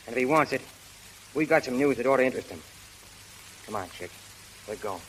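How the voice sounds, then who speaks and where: Radio play role, male actor: slangy, loyal boxing sidekick, mid-pitched, rough grammar, hissy 1930s sound. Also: animated, fairly quick, close to an everyday voice, rough recording. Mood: resigned, bitter. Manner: slangy, ungrammatical working-class talk. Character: streetwise, plain-spoken, loyal male boxing buddy, slangy. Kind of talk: 1930s radio drama acting.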